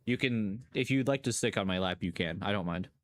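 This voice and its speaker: A man's voice: lowish voice, fairly quick, dry recording, clean studio sound. A man streaming casual reaction commentary, rambling and playful, often questioning what he watches. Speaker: streamer reacting to horror videos.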